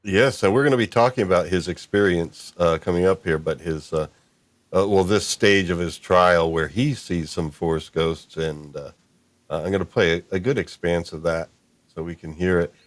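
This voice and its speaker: Low-voiced, dry-sounding male podcast host. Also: moderate pace, dry recording. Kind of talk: casual interview chat.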